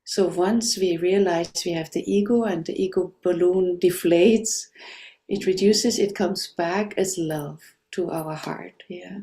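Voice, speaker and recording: A woman from Austria hosting a relaxed spiritual discussion, speaking gently and laughing often. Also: lowish voice, a little slow. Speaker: host.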